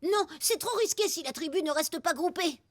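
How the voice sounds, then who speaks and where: Excitable high-pitched cartoon cave boy. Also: normal speed, no echo, clean studio sound. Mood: anxious, pleading. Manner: exclamatory, dramatic. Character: excitable young prehistoric boy, superstitious, boastful hunter. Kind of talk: French animated cartoon dubbing.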